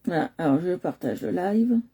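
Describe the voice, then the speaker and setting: A woman's voice: lowish voice, expressive, moderate pace, warm tone, husky. A woman from Nice hosting a live coloring stream, chatting casually with many hesitations and fillers, talking into a computer at home. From Nice, France.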